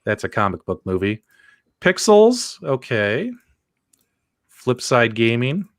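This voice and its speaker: Animated man hosting a casual stream. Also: medium pitch, slow, no echo. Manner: animated, laughing, with fillers. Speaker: host. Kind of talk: casual livestream chat.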